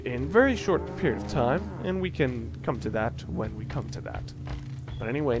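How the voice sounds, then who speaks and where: A man giving casual, halting gameplay commentary as a let's play host, rambling and thinking aloud as he plays. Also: medium pitch.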